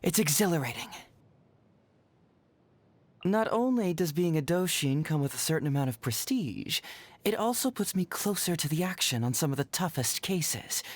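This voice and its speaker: A man's voice: on the high side, animated, moderate pace, no echo. A man playing a cheerful, confident and clever young detective in a video game, with playful, self-assured, theatrical phrasing. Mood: smug, musing. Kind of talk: scripted game voice lines. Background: background music.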